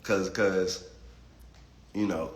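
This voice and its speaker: A man's voice: low-pitched, steady tone, extremely slow, roomy sound. A man in his late twenties talking bluntly and profanely on a casual livestream. He reads chat comments and often repeats words as he starts a thought. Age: 20s. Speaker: livestream host reading chat.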